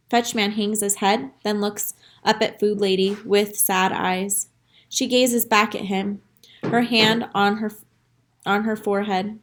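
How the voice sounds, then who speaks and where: A woman reading a children's story aloud in an expressive, animated way, with dramatic emphasis on key lines. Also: a little high. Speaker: school staff reader reading aloud to children.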